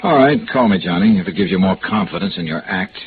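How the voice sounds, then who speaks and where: An American actor playing a wisecracking, world-weary insurance investigator in a vintage radio noir drama, with clipped, dry delivery. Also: male voice, low voice, expressive, quick pace, background noise. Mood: sardonic, skeptical. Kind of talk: old-time radio noir acting and first-person narration. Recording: muffled vintage radio broadcast with music.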